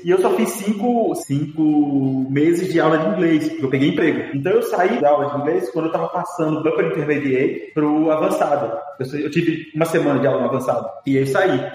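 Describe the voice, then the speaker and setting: A man from the northeast, a podcast guest telling stories in a relaxed, chatty way and laughing often. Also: fairly high pitch, fairly quick, hardly any pauses. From Brazil. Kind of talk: casual storytelling interview. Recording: echoey long reverb, faint music.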